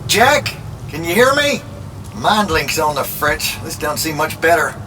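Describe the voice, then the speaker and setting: A man's voice: fairly high pitch, very animated, measured pace, noisy background. A man playing an anxious, folksy ally in a video game, delivering urgent news in an informal, colloquial way. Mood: urgent, worried. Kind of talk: video game cinematic acting.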